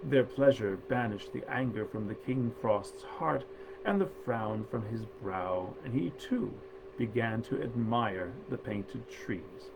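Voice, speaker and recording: A man storyteller reading a literary tale aloud in a measured, theatrical and genteel manner. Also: mid-range voice, vocal fry, noisy background.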